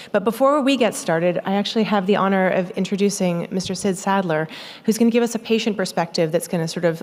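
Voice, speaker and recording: A woman's voice: medium pitch, a little mellow. A woman introducing a conference session in an upbeat, enthusiastic way. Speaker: session moderator. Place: conference hall.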